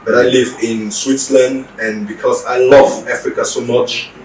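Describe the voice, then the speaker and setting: A young man from Cameroon, an exchange student doing his master's, answering interview questions in a measured, explanatory way. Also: medium pitch, level tone. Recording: roomy echo, distant mic, faint noise.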